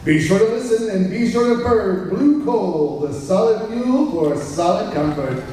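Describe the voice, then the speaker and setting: A man's voice: high-pitched, expressive, measured pace. A man working as announcer and stage host of a live radio-drama show, upbeat in manner. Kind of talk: live radio-show announcing, then casual backstage chat. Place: large hall, live stage. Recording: echoey hall from far off, loud room noise.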